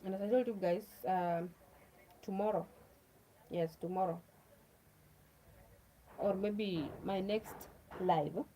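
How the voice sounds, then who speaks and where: A woman's voice: medium pitch, slow pace, pauses often, mellow, a little hiss or hum, poor audio. A Kenyan woman whose first language is Luo, chatting casually to viewers on a livestream, repeating herself and speaking to them directly. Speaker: livestream host.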